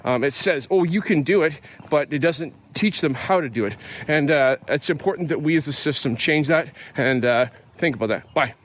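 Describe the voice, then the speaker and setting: Canadian man, middle-aged, somewhat high voice, chatty walking reflection, light outdoor noise. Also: moderate pace. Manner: rambling, with many fillers and restarts. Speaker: podcast host. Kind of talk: casual spoken reflection. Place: outdoors on an early morning walk.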